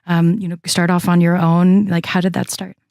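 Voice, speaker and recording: Brief: friendly woman host, slightly low voice, dry close sound. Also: level tone, normal speed, darkish tone, no echo. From Florida. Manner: friendly, chatty, laughs easily. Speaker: podcast host. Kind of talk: casual interview chat.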